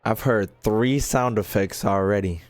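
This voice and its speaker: A man doing casual, slangy gameplay commentary, expressive and given to mock-indignant rants. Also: on the low side, measured pace, dry recording. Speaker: streamer playing and reacting to a video game.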